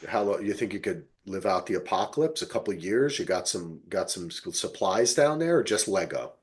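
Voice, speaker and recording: A man's voice: low voice, animated, brisk, husky. A man from New Jersey with an American accent, chatting casually as a podcast host with sweary, buddy-like banter. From New Jersey, United States.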